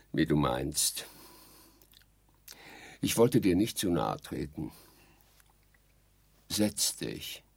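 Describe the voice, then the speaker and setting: Pompous, husky-voiced dead scientist. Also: male voice, fairly low pitch, exaggerated voice. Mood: melancholy, dramatic. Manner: haughty, declamatory, indignant lecturing. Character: pompous dead sleep researcher, exasperated and self-important. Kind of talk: theatrical German radio play acting.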